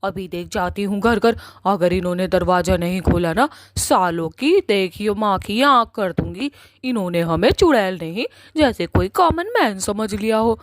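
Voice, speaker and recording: Bossy comic-horror witch, played by a woman: irate, threatening, emphatic, mid-pitched, recorded very dry. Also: lively intonation, moderate pace, no room sound, close to an everyday voice. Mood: indignant. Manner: scolding, emphatic, repeats words for menace. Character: angry, foul-mouthed village witch, bossy and threatening. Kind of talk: Hindi cartoon horror-comedy acting.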